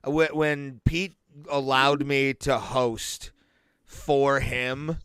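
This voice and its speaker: A man's voice: medium pitch, steady tone, extremely slow, a bit bright, clear, resonant tone, dry recording, studio quality. A man co-hosting a podcast in casual chat, joking bluntly and crudely. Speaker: podcast co-host.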